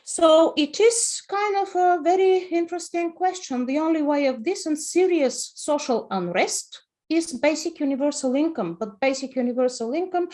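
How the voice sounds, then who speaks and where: A woman's voice: sits high, moderate pace, a little mellow. A woman in her fifties from Bulgaria with a Bulgarian accent, speaking passionately as a guest on an online panel discussion, over a video call, posing rhetorical questions. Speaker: panel guest, personal finance writer.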